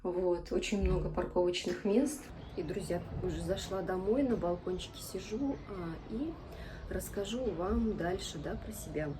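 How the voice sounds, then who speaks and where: A woman in her thirties chatting casually to camera as a vlogger introducing herself, often pausing mid-sentence. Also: fairly low pitch. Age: 30s. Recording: clearly noisy amateur vlog sound.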